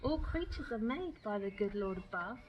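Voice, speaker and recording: A woman's voice: mid-range voice, animated, moderate pace, highs cut off, natural voice. Woman voicing a solemn, kindly messenger bird, noisy recording. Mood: reverent, gentle.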